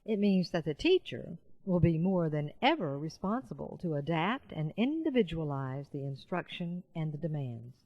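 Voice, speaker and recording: A woman doing scripted voice-over narration as a demo artist, with a measured, announcing delivery. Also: sits low, a little mellow.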